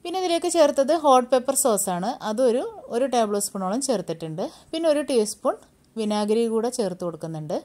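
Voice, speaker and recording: A woman hosting a home cooking channel, giving brisk step-by-step recipe instructions in a voice-over. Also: on the high side, lively intonation, darkish tone. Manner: brisk, step-by-step instructing, Malayalam mixed with English words.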